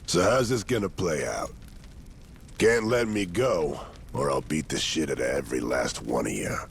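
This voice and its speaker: A man playing a blunt, hot-tempered veteran Yakuza in a video game, gravelly and confrontational. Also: rumbling low, animated, normal speed, a little hiss or hum, heavily acted. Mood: defiant. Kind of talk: video game cutscene acting.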